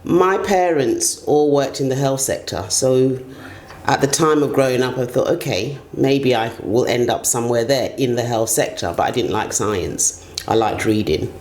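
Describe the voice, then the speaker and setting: A woman's voice: a bass voice, moderate pace, a little mellow. Middle-aged woman, echoey room. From Ghana and the UK. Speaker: interview guest, senior HR executive. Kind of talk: conversational interview answers. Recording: roomy echo, clear background noise.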